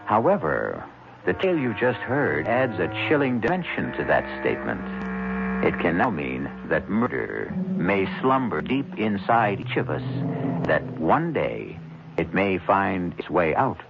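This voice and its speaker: A man's voice: low-pitched, big pitch swings, a little slow, gravelly, heavy background noise. A man hosting a radio mystery show with scripted narration, theatrical and sardonic in manner. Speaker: host. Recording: dull lo-fi 1970s radio recording. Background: music.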